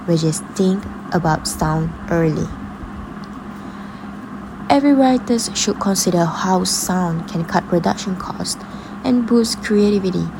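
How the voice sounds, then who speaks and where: A woman with a fairly low voice and a strong creak delivers scripted explainer narration as a presenter. The recording has clear noise behind her. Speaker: video essay presenter.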